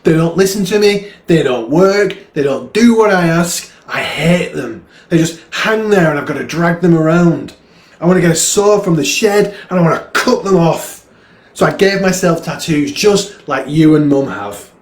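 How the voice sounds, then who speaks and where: A man's voice: on the high side, expressive, moderate pace, voice pushed up, close to an everyday voice. A man with a northern English accent voicing a hurt, angry young boy in a storybook reading, with emphatic emotion. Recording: roomy echo, clear background noise.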